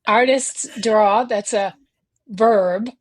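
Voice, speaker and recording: A woman's voice: medium pitch, slightly rough, dry recording. A religious sister cohosting a podcast, a woman with a Boston accent, talking in a casual, chatty way with frequent laughs and fillers. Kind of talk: casual chat.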